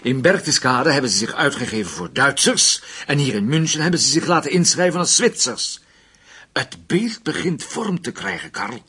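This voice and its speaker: An actor playing an authoritative, methodical police chief detective in a radio drama, with an insistent manner and a husky voice. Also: male voice, medium pitch, sing-song, normal speed, sharp tone, exaggerated voice. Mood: measured, probing.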